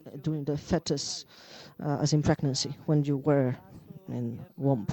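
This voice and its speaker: Halting man giving a medical lecture. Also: fairly high pitch, fairly even intonation, darkish tone, rough recording. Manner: halting, many fillers and restarts. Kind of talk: conference lecture.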